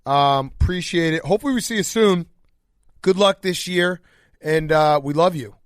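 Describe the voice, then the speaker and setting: A man with a fairly high voice hosts a podcast in a casual, conversational style. He interviews guests, and the sound is dry and close. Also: moderate pace, clean tone, no echo.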